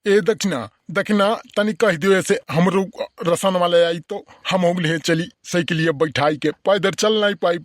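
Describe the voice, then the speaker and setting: Guy doing a cranky old village uncle, awadhi accent, pretty high voice, scolding cartoon style. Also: moderate pace, few pauses, no room sound, clean studio sound, lightly acted. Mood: grudging, coaxing. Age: older. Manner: scolding, complaining, blustering. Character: grumpy, irritable old village uncle Buddhu Kaka. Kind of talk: Awadhi comedy cartoon voice acting.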